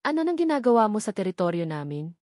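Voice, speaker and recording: Arrogant male syndicate leader, read by a woman, taunting and menacing, mid pitch, dry recording. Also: sing-song, fast talker, a little mellow, no echo, natural voice. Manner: hard, taunting.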